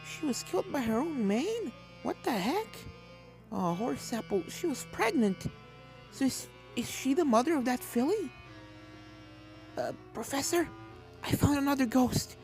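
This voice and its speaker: A woman voicing a plucky, reckless young filly ghost hunter with an excitable, impulsive manner in a fan story reading. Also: high voice, unhurried, voice pushed up, heavily acted. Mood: shocked. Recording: game music under the voice.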